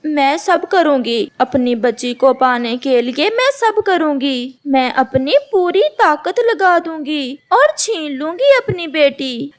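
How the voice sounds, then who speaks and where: A woman's voice: high-pitched, lively intonation, slow, hardly any pauses. A woman voicing a sly, deceitful female cartoon bird with a brazen, insistent manner. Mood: determined.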